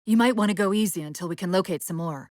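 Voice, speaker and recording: A woman playing a brisk, wry, urgent military AI companion in a video game, giving crisp commands and tactical briefings. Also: fairly low pitch, a little mellow, dry recording, studio quality, close to an everyday voice. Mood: brisk, focused.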